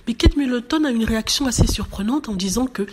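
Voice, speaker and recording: A woman with a cold and a hoarse voice, chatting casually to her viewers about celebrity gossip, with frequent hesitations. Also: fairly high pitch, fast talker, background noise. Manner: chatty, many hesitations with "euh". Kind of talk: casual chat to camera.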